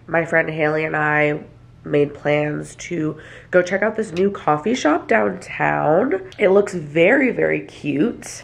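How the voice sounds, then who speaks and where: A young woman vlogging casually to the camera in her small apartment. She sounds nasal and stuffy from allergies and talks with lots of fillers. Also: sits low. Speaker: vlogger and hairstylist. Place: small apartment, kitchen and bathroom. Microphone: handheld camera at arm's length or tripod.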